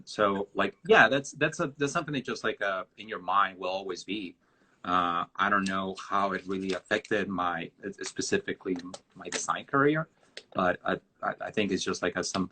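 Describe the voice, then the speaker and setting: Mexican guy, spanish is his first language, lowish husky voice, kinda rambly and reflective. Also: pushed and bright, rough recording. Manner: rambling and reflective, with many restarts. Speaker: guest designer. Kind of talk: live-streamed interview answers.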